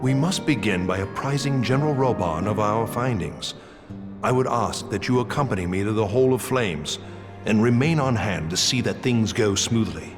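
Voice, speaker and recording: An actor playing a proud, gracious old male knight captain in a game cutscene, speaking in a formal, measured way. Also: lowish voice, animated, over music, natural voice. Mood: earnest. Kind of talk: video game cutscene voice acting.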